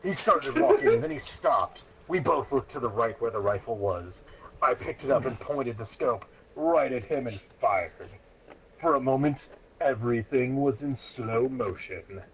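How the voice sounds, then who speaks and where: A man's voice: medium pitch, sing-song, unhurried, fairly bright tone. A man reading a story aloud in a mock-serious, dramatic way, often close to breaking into laughter. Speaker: reader of a childhood story. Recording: dull lo-fi sound, clear background noise.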